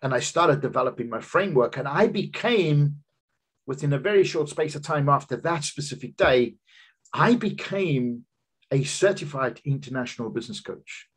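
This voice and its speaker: Guy in his 50s doing a business seminar over webcam, kinda husky, voice goes up and down a lot, earnest storytelling. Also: expressive, moderate pace. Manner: earnest, personal storytelling pitch with emphatic rises. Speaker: business coach giving a seminar talk. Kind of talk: seminar presentation over slides. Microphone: video call webcam.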